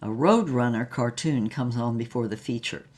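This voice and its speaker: A woman's voice: rumbling low, normal speed, darkish tone. A woman playing a wistful, heartbroken former actress who narrates her lost love in an audio drama with dramatic, lingering melancholy. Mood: bleak, wistful.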